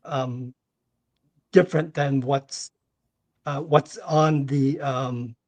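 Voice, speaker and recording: A man's voice: medium pitch, fairly even intonation, extremely slow, no room sound. A man calling in to a show with a question, speaking casually and hesitantly, circling back to rephrase. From Canada, born in Singapore. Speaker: caller with a question.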